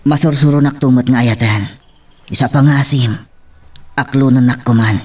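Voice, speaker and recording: A man's voice: medium pitch, steady tone, moderate pace, darkish tone, natural voice. Man acting an earnest young suitor, slightly distant. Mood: earnest pleading. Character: earnest, devoted young village suitor, male. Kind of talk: romantic audio drama acting.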